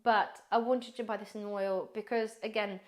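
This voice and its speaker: A woman prepper vlogger chatting casually through a grocery haul, rambling, addressing viewers as guys, her voice slightly hoarse. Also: mid-range voice, steady tone, moderate pace. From based in the UK.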